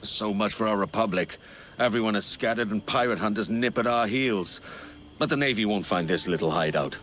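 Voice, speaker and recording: An actor playing a grave, determined pirate leader in a video game. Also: male voice, on the low side, a little fast, a bit bright, a little hiss or hum, natural voice. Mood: grim resolve. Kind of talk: video game cutscene acting.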